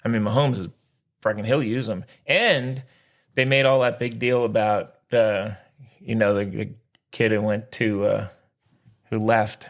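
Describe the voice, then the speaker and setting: A man's voice: fairly low pitch, steady tone, a little slow. An American man co-hosting a sports podcast, chatting in an informal, buddy-to-buddy way with casual banter. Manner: informal, peppered with "man", "dude" and "sir".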